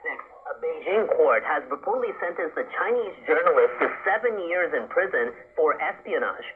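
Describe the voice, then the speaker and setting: A male newsreader delivers a scripted bulletin in a slightly high voice. The sound is narrow and tinny, like a phone line, from a shortwave radio broadcast. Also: lively intonation, normal speed, slightly rough. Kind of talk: scripted news bulletin reading.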